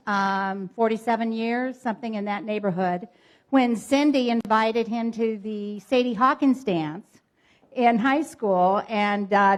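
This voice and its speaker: A woman in her sixties introduces a speaker at a club meeting on a podium microphone. Her voice is on the higher side, and she speaks informally, with ums and small jokes along the way. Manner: warm, informal, with ums and light joking. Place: meeting room.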